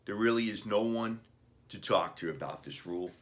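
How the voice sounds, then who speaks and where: A man reading a children's storybook, playing an earnest, gentle father talking about his young son's wishes. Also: lowish voice, normal speed, close to an everyday voice. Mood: regretful.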